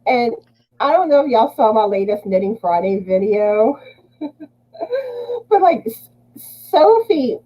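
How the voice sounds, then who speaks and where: A woman's voice: a little high, very animated, takes it slowly, pauses often, slightly rough, dull audio. A woman with a Southern US accent hosting a casual livestream chat, laughing often as she greets viewers by name. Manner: laughs often while reading chat names. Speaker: livestream host.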